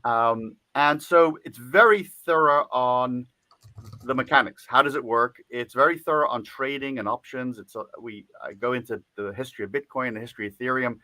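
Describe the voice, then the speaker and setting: A man chatting as an interviewed author, his tone bright and forward. He talks loosely with many fillers and restarts. The sound is dry and close. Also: mid-range voice, moderate pace, dry recording. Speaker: guest author. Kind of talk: casual interview talk.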